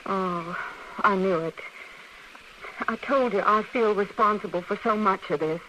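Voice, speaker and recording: An actress playing an anxious, guilt-ridden frontier woman in an old radio western, halting and repeating words. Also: mid-range voice, sharp tone. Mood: regretful. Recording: faintly noisy vintage radio recording.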